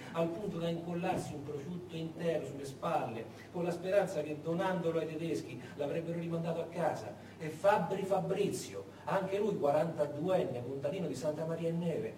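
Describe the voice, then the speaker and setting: Guy doing dramatic theatre monologue, echoey room, clear background noise, weighty pauses. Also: fairly high pitch, a little mellow. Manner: emphatic, deliberate, repeats words, dramatic pauses. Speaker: solo stage storyteller. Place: echoey performance space, far from the mic. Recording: echoey, noisy, distant stage recording.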